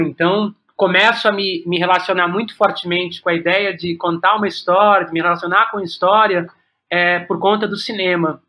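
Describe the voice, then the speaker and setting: A Brazilian man with a notably high voice and a bright, forward tone. He talks conversationally, like a guest reflecting in an interview. His phrasing is halting, with repeated words as he thinks aloud. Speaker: podcast guest, playwright and director.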